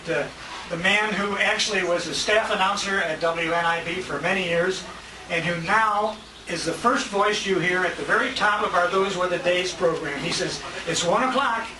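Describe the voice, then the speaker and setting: A man's voice: sits high, normal speed. An older American man hosting a dinner as emcee, giving a live tribute speech in a restaurant banquet room. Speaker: emcee and radio show host. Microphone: distant handheld compact camera. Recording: echoey, noisy handheld camera from far off.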